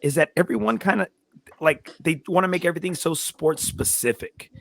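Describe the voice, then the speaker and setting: Blunt man in his forties, higher voice. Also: normal speed, gravelly, no echo. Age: 40s. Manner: blunt, unfiltered, swears freely. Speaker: podcast guest; coach. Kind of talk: casual chat.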